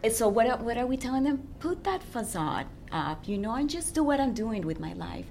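A woman's voice: a little high, big pitch swings, fairly quick, creaky, a little hiss or hum. A woman who works as a relationship coach, speaking as an interview guest and often checking in with her listener. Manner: warm, earnest, checks in with "yeah?".

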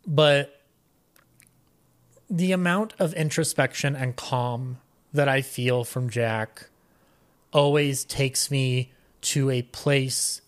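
A man talking casually as a podcast guest, rambling along with a fan's enthusiasm. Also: medium pitch. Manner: rambling, enthusiastic fan talk. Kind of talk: casual interview chat.

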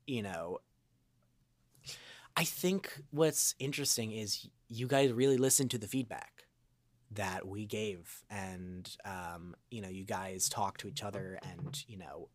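A man's voice: lowish voice, measured pace. A man chatting casually as an interview guest, rambling and informal with a goofy streak. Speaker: voice actor and improv comedian, podcast guest.

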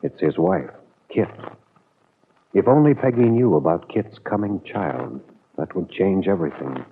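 Male narrator, 1940s-style radio serial: deep, solemn, scripted, with audible noise on a vintage recording. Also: lively intonation, measured pace, narrow, tinny audio. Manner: solemn, addresses the character directly. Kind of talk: old-time radio soap narration, scripted.

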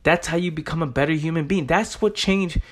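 Young man, motivational speaker, somewhat high-pitched, informal, emphatic, rhetorical questions, casual swearing. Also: a little fast. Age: young adult. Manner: blunt, streetwise, swearing casually.

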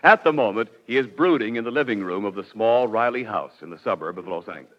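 A man's voice: fairly low pitch, lively intonation, fast talker. A male announcer delivering scripted narration in a polished, emphatic 1940s radio style. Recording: dull vintage radio recording.

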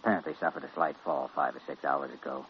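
An actor playing an earnest, solemn young doctor in 1940s radio drama style. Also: male voice, very deep voice, fairly even intonation, fast talker, a little hiss or hum. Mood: clinical, earnest. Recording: muffled old radio recording.

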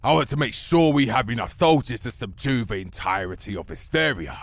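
Casting: werewolf general, comic fantasy audio drama. Husky bass, swooping delivery, blustering yet servile. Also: male voice, expressive, a little fast, no echo. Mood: boastful, servile. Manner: obsequious, bragging, theatrical. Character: fearsome male werewolf army commander, boastful yet servile.

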